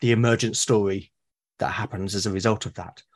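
A British man, a podcast guest, talking earnestly and haltingly, often repeating his words. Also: fairly low pitch, fairly even intonation. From Britain. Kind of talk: conversational interview.

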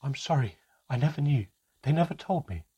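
A man voicing a sobbing mother who speaks in a low, strained, quavering voice, in a storybook reading. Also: expressive, brisk, mellow, husky. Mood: tearful. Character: tearful, apologetic mother.

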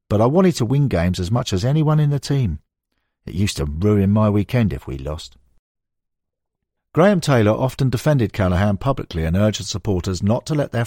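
Guy narrating a sports biography, low voice, really dry close sound. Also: darkish tone, dry recording. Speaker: audiobook narrator. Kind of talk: scripted narration of a football biography.

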